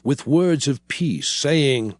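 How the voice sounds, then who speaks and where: An actor playing an elderly prophet leader, solemnly declaiming in a measured way as dramatized scripture, with music underneath. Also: male voice, mid-range voice, lightly acted. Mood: solemn, commanding.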